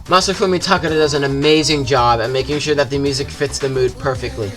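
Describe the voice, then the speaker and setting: A man's voice: mid-range voice, fast talker, music in the background. A man giving a casual, jokey, scripted video game review as a YouTuber, with some swearing.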